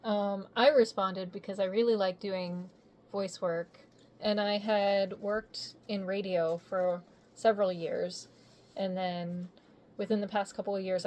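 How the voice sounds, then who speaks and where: Mellow-voiced chatty woman. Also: mid-range voice. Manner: chatty, easygoing storyteller. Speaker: voice actor guest. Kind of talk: casual interview chat.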